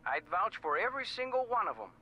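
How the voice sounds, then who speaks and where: An actor playing a folksy, plain-spoken small-town sheriff in a video game. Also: male voice, sits high, big pitch swings, quick pace, muffled recording. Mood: amused, assured.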